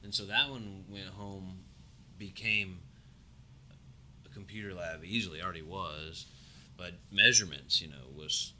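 A middle-aged man with a very deep, mumbling voice and a sharp, bright edge. He talks in halting, restarting phrases, chatting as a guest in a lab office, with faint room noise behind him. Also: fairly even intonation. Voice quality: low, mumbling voice. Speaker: engineering professor, guest. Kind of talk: casual conversation. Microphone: shared podcast mic.

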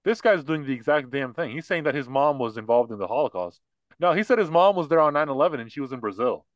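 A man's voice: medium pitch, animated, quick pace, no echo, lo-fi sound. A man co-hosting a podcast, talking casually and opinionatedly about politics and mocking the people he discusses. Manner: opinionated, mocking, acts out quotes. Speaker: podcast co-host.

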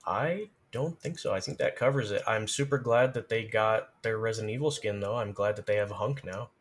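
A man's voice: fairly low pitch, moderate pace. A man, a streamer and podcast guest, casually explaining game tactics in an emphatic, encouraging way.

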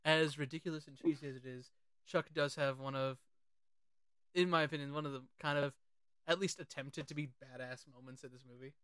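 Film podcast host, bright voice, excitable, sweary, casual chat, dry sound. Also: mid-range voice, fairly quick, smooth, dry recording. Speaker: podcast host reviewing films.

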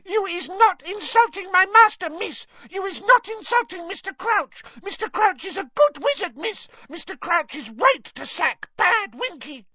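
Storybook female house elf: piercingly high, squeaky, forceful, wailing, indignant and fiercely loyal. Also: expressive, normal speed, few pauses, dry recording, highs cut off, exaggerated voice. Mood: screeching, indignant. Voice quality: squeaky, shrill. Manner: wailing, broken servile grammar.

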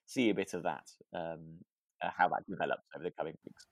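Deep-voiced man, hesitant casual chat. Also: a little fast, no echo. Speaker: podcast co-host. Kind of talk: casual chat recapping a radio drama.

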